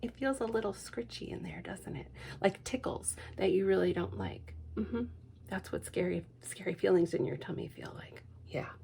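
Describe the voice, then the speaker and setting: A woman librarian reading a picture book aloud to children in a gentle, hushed manner, with whispered asides. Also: medium pitch, normal speed, vocal fry, breathy edge. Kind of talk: storytime read-aloud for children.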